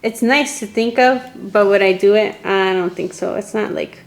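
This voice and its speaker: A young woman chatting as a podcast guest, relaxed and full of "like" fillers. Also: medium pitch, normal speed. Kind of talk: casual chat.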